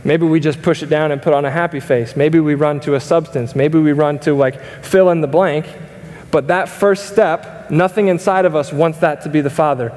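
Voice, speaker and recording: A man's voice: fairly high pitch, steady tone, normal speed, darkish tone. A young man preaching a sermon from a church stage, earnest and conversational, with many fillers and restarts. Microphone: stage mic, wide camera. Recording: long reverb of a church hall.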